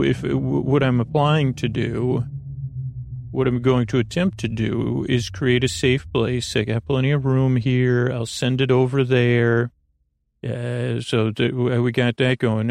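A man with a creaky voice hosting a sleep podcast, talking in a meandering, hesitant monologue that trails off into tangents. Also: medium pitch, darkish tone, dry recording. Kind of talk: rambling monologue to help listeners sleep.

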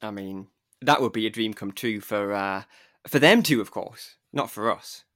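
A man's voice: fairly low pitch, moderate pace, a bit bright, no echo, studio quality. A man with a Geordie accent co-hosting a podcast, bantering in a jokey, wry way. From North East England. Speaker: podcast co-host. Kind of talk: casual podcast chat.

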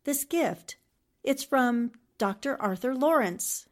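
Woman acting a poised, high-voiced lady sleuth. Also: animated, slow pace. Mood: curious. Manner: polished, arch, teasing endearments. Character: poised, shrewd, witty newspaperwoman amateur sleuth. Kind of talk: 1940s radio drama acting.

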